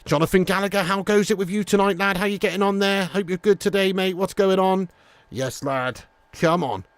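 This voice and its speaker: A man's voice: sits high, brisk, no echo. A man hosting a football watch-along livestream, chatting and commentating casually in a sweary, matey, bantering way. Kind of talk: casual reactive match commentary and chat.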